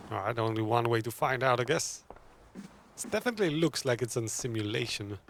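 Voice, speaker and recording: A man's voice: mid-range voice, fairly quick, a little hiss or hum. A man streaming a video game, giving casual, chatty commentary as he plays.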